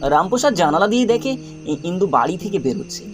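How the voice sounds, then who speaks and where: A man narrating a scripted dramatic story in short, suspenseful phrases with pauses. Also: high-pitched, sing-song, soft background music.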